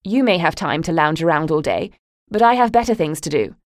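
A woman's voice: on the high side, lively intonation, moderate pace, darkish tone, dry recording, clean studio sound, pitched above the performer's own voice, close to an everyday voice. A woman putting on a sugary, sneering mother. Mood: cold. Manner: sugary and cutting. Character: sneering, sweetly malicious middle-aged mother.

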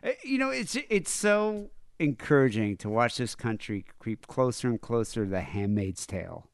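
A sarcastic young man co-hosting a podcast, his voice at an ordinary middle pitch. He swears freely and stumbles through fillers as he talks. The sound is dry and close, with no room at all. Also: very animated, normal speed, clean tone, dry recording. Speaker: podcast co-host. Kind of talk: casual political chat.

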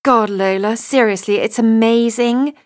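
A woman's voice: fairly high pitch, a little slow, smooth, no room sound, studio quality, pitched above the performer's own voice, lightly acted. Woman putting on a gushing snobby bride. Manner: gushing, breathless, a little spoilt.

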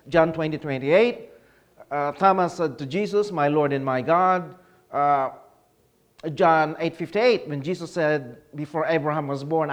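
Man from the philippines, conference speaker, slightly high voice, questions the audience. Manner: explanatory, asks the audience questions. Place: conference hall.

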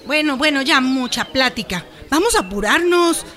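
An actress playing a brisk, impatient, know-it-all village woman with a Mexican accent in a radio drama. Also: high voice, animated, a bit bright. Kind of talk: Spanish-language radio drama acting.

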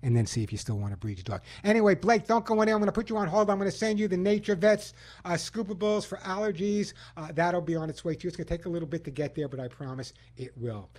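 A man's voice: high-pitched, animated, quick pace, hardly any pauses, darkish tone, no echo. A man hosting a call-in pet radio show in a studio, giving emphatic, self-assured advice and reading ads. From Long Island, New York. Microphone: studio microphone.

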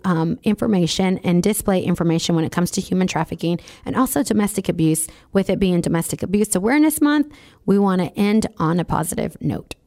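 A woman's voice: mid-range voice, darkish tone. Female radio host, scratchy voice, upbeat, talks straight to listeners. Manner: enthusiastic, encouraging, talks to listeners directly. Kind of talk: motivational talk on business.

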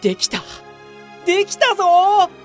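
A woman's voice: very high-pitched, very animated, a little slow, soft background music, heavily acted. A woman playing a brazen, oblivious, self-satisfied veteran office worker with a pompous manner in a comedic radio drama. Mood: self-satisfied, excited. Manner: pompous, grandly self-promoting.

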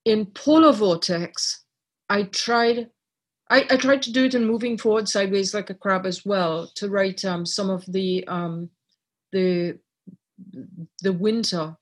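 A middle-aged woman from Trinidad, a writer and artist, talking reflectively in an interview with many pauses and restarts. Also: mid-range voice, breathy edge. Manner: thoughtful, many fillers and restarts.

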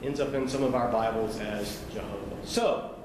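A man's voice: fairly low pitch, normal speed. A man teaching a sermon in a conversational, explanatory way, with asides to his listeners. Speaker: preacher. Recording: echoey hall, noisy ambience.

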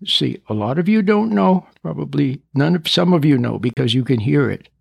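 A man's voice: on the high side, expressive, moderate pace, creaky, a little mellow, breathy edge, no room sound, dull audio. A stuttering man in his seventies. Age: 70s. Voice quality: stutters at times. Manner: earnest, preachy, repeats and rephrases points. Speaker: speaker on parenting. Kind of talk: recorded talk addressing parents.

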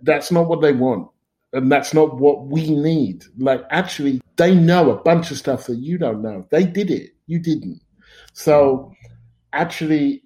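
A man in his fifties, a podcast guest, speaking thoughtfully with hesitant restarts. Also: mid-range voice. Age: 50s. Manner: thoughtful, hesitant restarts, soft murmured agreement. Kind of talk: casual conversation.

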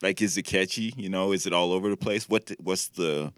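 A man's voice: low-pitched, brisk, a bit bright, smooth, no room sound, clean studio sound. A young man co-hosting a podcast in casual chat, laid-back and rambling, trailing off into fillers. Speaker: podcast co-host. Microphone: studio mic.

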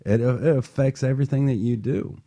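A man's voice: medium pitch, a little fast, gravelly, mellow. A man hosting a talk show, telling a story in a casual, conversational way and checking in with his listeners as he goes. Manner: conversational, keeps checking in with "right?".

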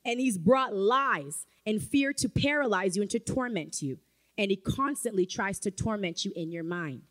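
A woman's voice: medium pitch, lively intonation, moderate pace, a bit bright. A woman preaching a sermon in a church, emphatic and rising to fervent calls of amen. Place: church sanctuary.

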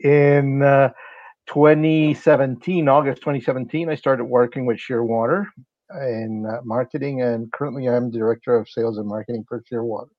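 A man's voice: medium pitch, fairly even intonation, moderate pace, no room sound, highs cut off. A man from Honduras, a company's sales and marketing director, explaining things at length in a casual interview with many fillers.

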